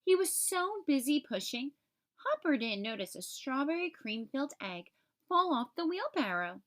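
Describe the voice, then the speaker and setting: A woman reading a children's picture book aloud as an expressive storyteller for young listeners. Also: high-pitched, moderate pace.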